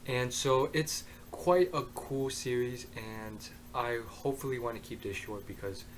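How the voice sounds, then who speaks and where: Young man, hoarse, casual rambling review, webcam in bedroom, faint background hiss. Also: medium pitch, unhurried, low-quality recording. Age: young adult. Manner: rambling, many ums and uhs. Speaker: anime reviewer. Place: bedroom, indoors. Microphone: webcam at desk.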